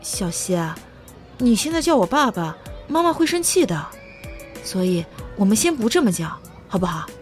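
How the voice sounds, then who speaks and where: A woman playing a gentle, easily flustered young woman who dotes on a child in an audio drama, soft and hesitant. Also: medium pitch, big pitch swings, normal speed, faint music behind, natural voice. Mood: coaxing. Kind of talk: Chinese audio drama acting.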